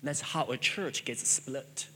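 A man's voice: mid-range voice, takes it slowly, sharp tone, breathy edge, long reverb tail. A man whose first language is Chinese, preaching a sermon as a pastor in an earnest way, repeating phrases with stammering restarts.

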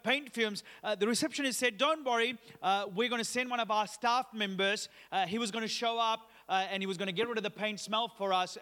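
Upbeat Sri Lankan-born guest preacher. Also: male voice, sits high, pushed and bright. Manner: upbeat, chatty, many "uh" fillers. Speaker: guest pastor. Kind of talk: sermon with personal story. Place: church auditorium. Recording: long reverb of a church hall.

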